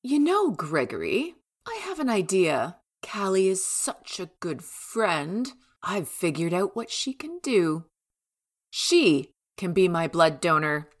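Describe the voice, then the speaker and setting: A woman's voice: fairly low pitch, very animated, slow pace, exaggerated voice. An actress playing an imperious, cold-hearted mother in an audio drama, commanding and condescending. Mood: icy, scheming. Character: imperious, cold-hearted mother and mansion matriarch, wheedling and cruel.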